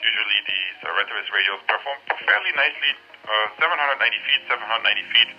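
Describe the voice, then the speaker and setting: A man giving casual range test commentary over a walkie-talkie, repeating his phrases deliberately as a product reviewer. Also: fairly low pitch, expressive, creaky, muffled recording. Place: outdoors, walking along suburban roads.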